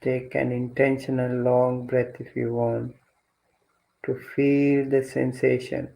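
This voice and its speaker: A man guides a meditation in a very slow, even voice with little rise or fall. His tone is mellow and creaky, and he gives gentle instructions with long pauses. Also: mid-range voice, poor audio. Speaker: meditation teacher leading guided meditation.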